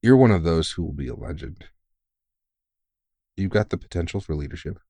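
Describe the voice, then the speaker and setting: Male actor as battle-worn vampire hunter, very low voice, dry close sound, blunt, caring mentor, audio roleplay. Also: animated, fairly quick, dark tone, no echo. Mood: earnest, reassuring. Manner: blunt, sweary, earnest, reassuring. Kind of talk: intimate audio roleplay monologue.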